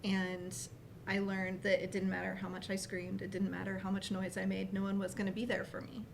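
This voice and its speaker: A woman guest and keynote speaker telling her story in an earnest, measured way. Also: medium pitch, monotone, gravelly, noisy background. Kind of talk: interview answer.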